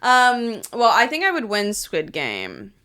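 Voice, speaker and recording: High-voiced young woman, slangy and sweary. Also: wildly swooping pitch, slow pace, pushed and bright. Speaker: podcast host. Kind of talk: casual comedic chat.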